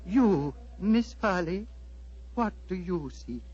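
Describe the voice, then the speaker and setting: A man's voice: sits high, animated, darkish tone. An actor playing a grave, courteous old Tibetan abbot with a formal, fatherly manner, in 1940s radio style. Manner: measured, formal, paternal. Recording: muffled, noisy old 1940s radio broadcast.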